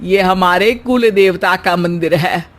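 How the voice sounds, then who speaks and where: A woman voicing a patient, gently chiding, middle-aged village mother-in-law in a comic cartoon story. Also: lowish voice, a little slow, lightly acted. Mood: patient, indulgent. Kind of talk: Hindi cartoon story acting.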